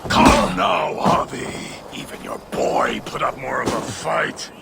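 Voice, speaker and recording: A man's voice: medium pitch, very animated, takes it slowly, vocal fry, raised voice, very noisy, heavily acted. A man playing an arrogant, cruel fire-giant villain, taunting theatrically in a dramatic video game cutscene. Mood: mocking.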